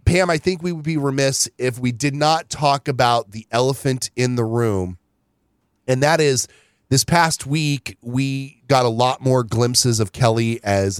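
A man's voice: medium pitch, smooth, dry recording. Guy with a cold chatting on a podcast, laid back, lots of you know, dead dry mic. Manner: relaxed, chatty, many fillers like "you know". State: has a cold. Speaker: podcast co-host. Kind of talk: casual chat.

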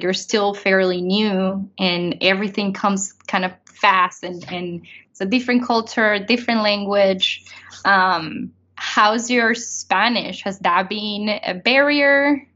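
A woman's voice: medium pitch, sharp tone. A woman interviewer asking rambling questions full of likes and restarts. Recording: dull lo-fi sound.

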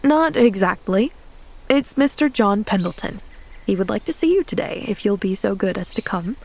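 A woman voicing a grave, gentle male doctor in a storybook, speaking in a quiet, hesitant, courteous way. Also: mid-range voice, animated, moderate pace, gravelly.

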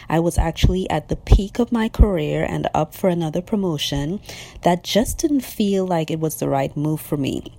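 A woman in her thirties from Trinidad and Tobago, a business owner giving earnest, measured answers as an interview guest. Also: deep voice, warm tone.

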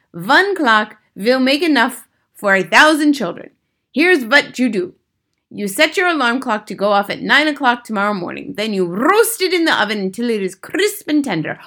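A woman's voice: sits high, sing-song, moderate pace, fairly bright tone, voice pushed up, full character voice. A woman voicing an imperious witch leader from a storybook, bossy, scornful and relishing every instruction. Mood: scornful, triumphant. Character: imperious, triumphant Grand High Witch, bossy villain. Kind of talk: storybook character read aloud.

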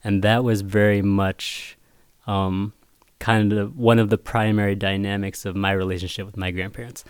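A man whose first language was Vietnamese, a picture book author talking casually in an interview, thoughtful and halting with many restarts. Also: fairly low pitch, little pitch movement, clean tone.

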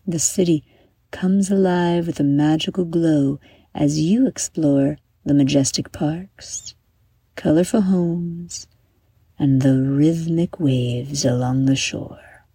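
A woman narrating a scripted bedtime meditation as a guide, soothing and unhurried, letting her phrases trail off into breath. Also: sits low, dark tone, slightly rough, dry recording.

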